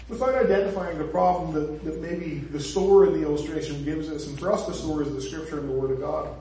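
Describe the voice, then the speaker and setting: A chatty Northern Irish preacher, a man speaking a little high for his sex and tossing jokes and asides to the room. He sounds far from the microphone, and his voice rings around a very echoey church hall. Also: a little mellow, slight background noise. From Northern Ireland. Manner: chatty and joking, with asides to the congregation. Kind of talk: conversational sermon.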